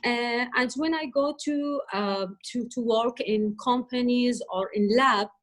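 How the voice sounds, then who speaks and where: A woman from Palestine answering at an online press conference in earnest, halting English. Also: a little high. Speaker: refugee speaker. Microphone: video call.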